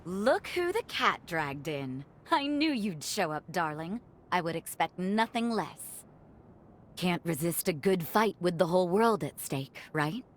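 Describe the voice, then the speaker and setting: A woman's voice: lowish voice, animated, measured pace, a little hiss or hum. An actress playing a confident woman warrior in a game cutscene, wry, flirtatious and affectionate with a comrade. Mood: teasing, affectionate. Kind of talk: dramatic game cutscene dialogue.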